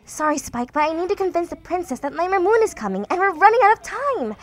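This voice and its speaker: A woman's voice: very high-pitched, sing-song, quick pace. A woman voicing an anxious, bookish, sarcastic young pony in a cartoon fandub. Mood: flustered. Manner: fretful and sarcastic.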